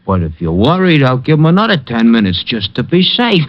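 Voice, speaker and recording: An actor playing a brutish thug who talks about violence in a casual, offhand way, in an old radio crime drama. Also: male voice, mid-range voice, big pitch swings, brisk, muffled recording, close to an everyday voice. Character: brutish male thug, easygoing.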